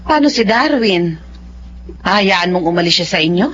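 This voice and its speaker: Low-voiced, skeptical older confidante. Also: female voice, animated, moderate pace, fairly bright tone, highs cut off, a little hiss or hum. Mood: concerned, skeptical. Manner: questioning, admonishing. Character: sensible older female confidante, cautioning and skeptical. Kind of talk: Filipino radio drama acting.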